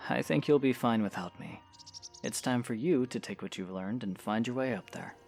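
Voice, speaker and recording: A man playing a patient young swordsman hero in an audio drama, coaching in a gravelly, encouraging way. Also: medium pitch, normal speed, darkish tone, faint music behind, natural voice. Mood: easygoing, reassuring. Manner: patient, instructive, reassuring. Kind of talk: scripted audio drama acting.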